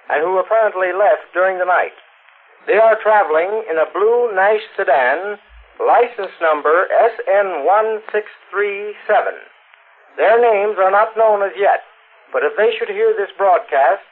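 An actor playing a formal, impersonal American announcer reading a police bulletin through a radio filter in a 1940s drama. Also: male voice, high-pitched. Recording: thin, phone-like old radio sound.

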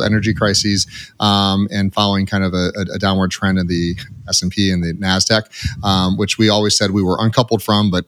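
A man's voice: lowish voice, a bit bright. A man hosting a podcast, talking loosely and rambling, repeating words and filling gaps with uhs. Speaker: podcast host. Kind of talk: casual podcast opening.

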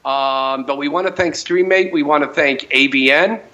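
A man's voice: on the high side, normal speed, bright, forceful tone, lo-fi sound. A middle-aged man hosting a live radio talk show from a home studio, with a rambling, upbeat announcer delivery and plenty of fillers.

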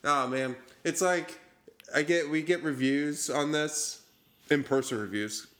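A man's voice: a little high, a little slow, a bit bright. A sleepy young man co-hosting a podcast, riffing and joking with a lot of swearing. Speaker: podcast co-host. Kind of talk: casual riffing chat.

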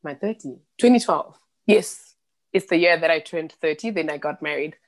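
Guest: woman, late 30s, raised in Zimbabwe, lowish voice, thoughtful and meandering. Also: moderate pace. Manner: thoughtful, rambling with restarts and laughs. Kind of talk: casual interview chat.

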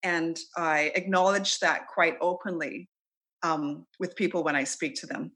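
A middle-aged woman talks in a bright, clear-edged voice. She chats loosely in a relaxed interview, filling pauses with ums and laughing easily. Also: deep voice. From Canada. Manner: chatty, with frequent ums and laughs. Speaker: interview guest. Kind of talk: casual conversational interview.

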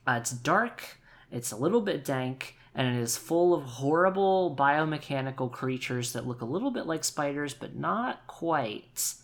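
A man improvising a tabletop game story in a halting, deliberate and playful way. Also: fairly high pitch, expressive, fairly bright tone. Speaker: player in an actual play podcast.

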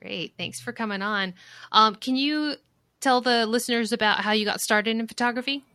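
A woman's voice: on the high side, normal speed, bright, forceful tone, clear, resonant tone, no room sound, clean studio sound. A woman hosting a podcast, reading a guest introduction and then chatting casually. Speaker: podcast host.

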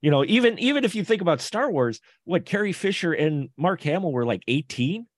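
A man's voice: a little high, big pitch swings, a little fast, dry recording, clean studio sound. A man talking casually as a panelist on a podcast, conversational and often checking in with the listeners.

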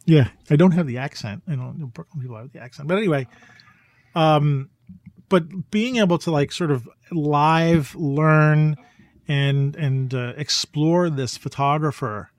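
A man in a casual podcast conversation, talking in a halting way as he searches for his words. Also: a little high, no echo. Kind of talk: casual conversational podcast chat about photography.

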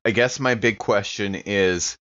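Low-voiced man playing a tabletop game. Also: a little slow, a bit bright. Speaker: player in a tabletop roleplaying game. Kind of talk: casual chat and in-character play. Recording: dull, lo-fi sound.

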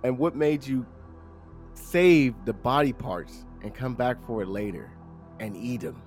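A man's voice: mid-range voice, a little slow, a little mellow, soft background music. A man in his thirties co-hosting a casual podcast, laid-back and slangy, turning emphatic when he gets worked up. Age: 30s. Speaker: podcast co-host. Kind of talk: casual live podcast chat.

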